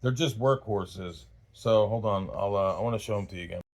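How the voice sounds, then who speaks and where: Low-pitched man, halting casual reviewer. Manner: halting, rambling, backtracks mid-thought. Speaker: host of a radio gear review channel. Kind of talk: casual unscripted product review chat.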